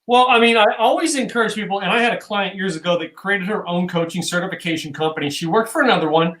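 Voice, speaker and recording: A man's voice: sits high, quick pace, bright, forceful tone. A man hosting a casual video-call interview from a loft room at home, rambling and joking with lots of fillers. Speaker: show host.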